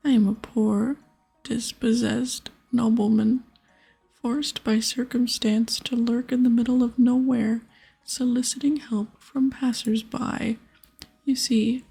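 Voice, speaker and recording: A theatrical pirate who claims to be a dispossessed lord as a woman acts him out. He whispers his grand sob story with a heavily breathy, slightly crackly voice. Faint music plays behind this game dialogue. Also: on the high side, mellow, voice pushed up, heavily acted. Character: scheming male pirate posing as a dispossessed nobleman.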